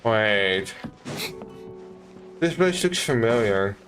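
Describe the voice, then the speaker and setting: A man giving casual, rambling commentary as he streams a video game, in a halting way. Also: fairly low pitch, big pitch swings. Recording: game music behind the voice.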